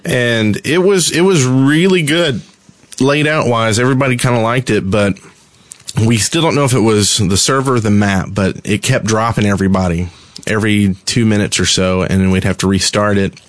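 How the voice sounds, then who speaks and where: A man's voice: on the low side, moderate pace, no room sound. A man co-hosting a gaming podcast in casual, informal, jokey conversation. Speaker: podcast co-host.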